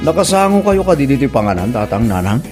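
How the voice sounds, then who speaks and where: A man plays a grown son in an Ilocano comedy drama. His voice is medium in pitch. He sounds questioning and exasperated, speaking with an Ilocano accent. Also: very animated, fast, over music, natural voice. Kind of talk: radio-style comedy drama acting.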